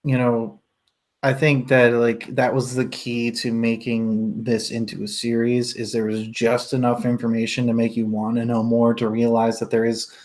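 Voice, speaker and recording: A man with a mid-pitched voice leads a casual book discussion on a livestream. He talks in an explanatory way, thinking aloud as he works through each point. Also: completely flat delivery, moderate pace, darkish tone. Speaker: book club host leading a discussion.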